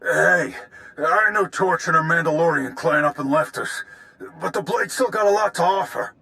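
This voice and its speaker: An actor playing a blustering, belligerent male pirate boss in a video game, rough-spoken and threatening. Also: fairly high pitch, lively intonation, normal speed, sharp tone, exaggerated voice. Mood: pleading.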